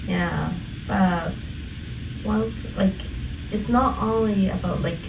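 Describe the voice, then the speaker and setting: Slow, hesitant Japanese woman guest. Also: medium pitch, vocal fry. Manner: hesitant, lots of fillers, searching for words. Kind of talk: casual interview. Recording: echoey room from a distance, noisy.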